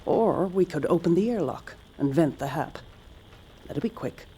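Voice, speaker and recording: An actress playing a stoic, resigned mission commander in an audio drama, clipped, restrained and matter-of-fact, with a husky voice. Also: female voice, on the low side, animated, a little slow, dark tone, a little hiss or hum, poor audio. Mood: calm, grim.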